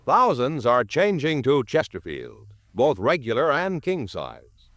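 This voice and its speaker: A man's voice: medium pitch, huge pitch swings, a little slow, a bit bright, slight background noise. An American man announcing a 1950s radio crime show in a formal, dramatic, emphatic style. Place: radio studio. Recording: 1950s radio broadcast, music behind.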